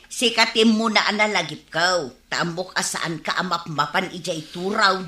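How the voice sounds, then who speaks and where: A woman playing a lively rural housewife in an Ilocano radio comedy drama. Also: on the low side, normal speed. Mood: chatty. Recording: roomy, echoing radio drama recording.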